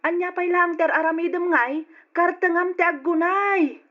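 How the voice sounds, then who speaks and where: A woman's voice: very high voice, steady tone, moderate pace. A woman voicing a bossy older woman who orders the maid around, in a radio drama. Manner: bossy and commanding. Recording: dull lo-fi audio.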